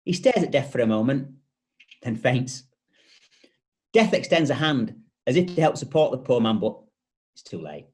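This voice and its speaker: A man with a medium-pitched, very lively voice reads screenplay directions as a scripted narration. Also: normal speed, pauses often. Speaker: narrator reading stage directions.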